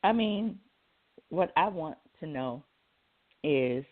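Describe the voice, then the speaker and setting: A woman's voice: deep voice, lively intonation, takes it slowly. A woman co-hosting a casual debate, blunt, slangy and insistent, repeating her points. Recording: thin phone-like sound.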